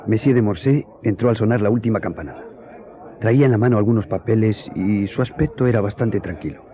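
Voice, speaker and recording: An actor in a radio drama playing an earnest friend who recounts a hearing in formal, measured storytelling. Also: male voice, fairly low pitch, natural voice. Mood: grave, earnest. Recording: tinny, narrow-band audio.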